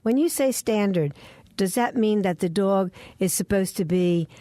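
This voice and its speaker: A woman hosts an interview, asking questions in a chatty, playful way. Her voice is mellow and warm. The recording is dry and close. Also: medium pitch, normal speed, no echo. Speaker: interview host. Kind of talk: radio interview.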